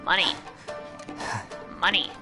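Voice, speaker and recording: Girl gamer just chatting while she plays, playful, repeats herself, music clearly behind. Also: takes it slowly. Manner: playful, repeats phrases and talks to the game. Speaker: gaming streamer. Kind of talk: casual gameplay commentary.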